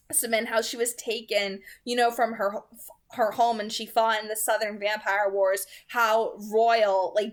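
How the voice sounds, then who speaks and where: Effusive, rambling young woman. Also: on the high side. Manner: effusive, rambling, emphatic repetition. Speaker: book read-aloud host. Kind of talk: casual, gushing book commentary.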